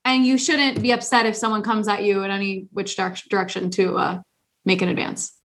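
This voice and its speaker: A woman's voice: mid-range voice, a little fast. A young woman co-hosting a casual podcast chat, telling a long story with lots of restarts and fillers. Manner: rambling, animated storytelling with many restarts. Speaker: podcast co-host.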